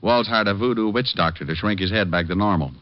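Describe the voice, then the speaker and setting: An actor playing a wisecracking private detective who also narrates, in a 1950s radio drama. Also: male voice, fairly low pitch, quick pace, dry recording, natural voice. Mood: joking. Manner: dry wisecracks, laconic. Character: wisecracking tough private detective, adult man. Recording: dull old radio recording.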